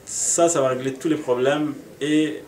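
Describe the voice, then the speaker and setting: A middle-aged man from Morocco, a municipal election candidate, answering interview questions in an earnest, measured way with frequent pauses. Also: a little high, fairly even intonation, darkish tone. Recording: echoey room.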